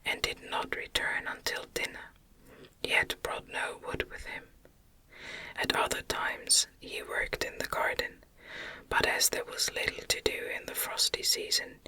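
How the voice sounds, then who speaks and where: A woman narrating a literary novel in a gentle, unhurried ASMR whisper. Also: low-quality recording. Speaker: audiobook narrator.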